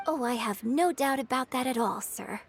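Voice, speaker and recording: A woman playing a poised, polite and quietly cunning young opera performer in a video game. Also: high-pitched, normal speed, a little hiss or hum. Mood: feigned innocence. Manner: composed, courteous, feigned innocence. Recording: background music under dialogue.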